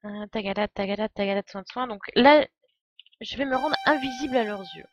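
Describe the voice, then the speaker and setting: A woman's voice: on the high side, brisk, faint music behind. A woman giving casual, joking, irreverent live commentary as a game streamer. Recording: dull, lo-fi microphone sound.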